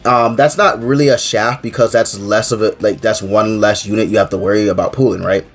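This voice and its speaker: A man's voice: fairly low pitch, steady tone, a little fast. A young man with a stuffy nose from a cold, chatting casually about a mobile game in a rambling, filler-heavy way. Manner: rambling, filler-heavy, keeps saying "to be honest". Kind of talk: casual game commentary to camera.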